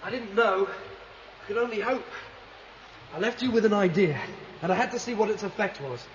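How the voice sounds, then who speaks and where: A man plays an idealistic rebel leader in a vintage science fiction radio play. His voice is high for a man, emphatic and sure of itself as he lays out bold schemes. The recording is dull, with faint background noise. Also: moderate pace, natural voice. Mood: welcoming, hopeful. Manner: emphatic, explanatory. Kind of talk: 1970s science fiction radio drama acting.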